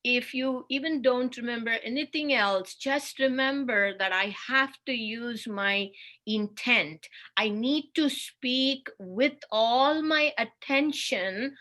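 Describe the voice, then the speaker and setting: A woman with an Indian accent giving a slow, deliberate, instructive webinar talk as a speech therapist over a video call. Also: fairly high pitch, sharp tone. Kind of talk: webinar presentation.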